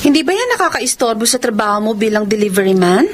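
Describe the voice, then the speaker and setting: This is a weary, defensive older sister in a radio drama. Her voice sits a little high and her delivery is conversational, over faint background noise. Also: sing-song, quick pace. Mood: surprised, wary. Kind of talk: Filipino radio drama acting.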